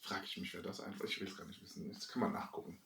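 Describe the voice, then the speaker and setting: A man co-hosting a podcast, chatting casually among friends in a halting way, searching for words. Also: deep voice, fairly even intonation, creaky, fairly bright tone, slight background noise. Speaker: podcast co-host. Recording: roomy echo, distant from mic.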